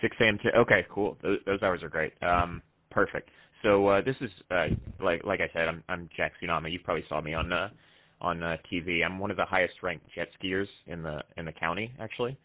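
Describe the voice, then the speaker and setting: Man playing a deep-voiced cocky jet ski stuntman. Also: steady tone, brisk, dry recording, phone-quality sound, close to an everyday voice. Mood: self-important. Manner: folksy, self-important, rambling, insistent. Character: cocky, casual county-famous male jet ski stuntman. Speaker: prank caller. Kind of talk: improvised prank phone call.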